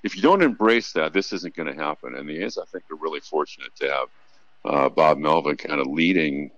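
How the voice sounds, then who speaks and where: A man's voice: extremely low pitch, fairly quick, a bit bright, no room sound, lo-fi sound. A man who works as a radio play-by-play announcer, speaking earnestly as a guest in a conversational sports interview.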